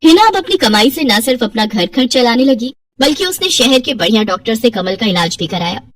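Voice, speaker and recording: A woman's voice: fairly high pitch, sing-song, brisk, distant mic. A woman narrating scripted moral tales for cartoons in a storytelling style.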